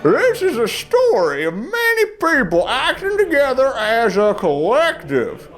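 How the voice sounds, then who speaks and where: High voiced lady reading super dramatic, huge swoops, big choral music behind. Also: wildly swooping pitch, a little slow. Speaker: reader. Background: dramatic orchestral choral music.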